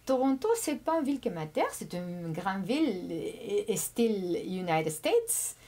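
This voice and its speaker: A woman whose first language is Spanish, a guest chatting casually in an interview, often pausing, repeating words and laughing. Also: mid-range voice, very animated, warm tone. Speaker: immigrant guest.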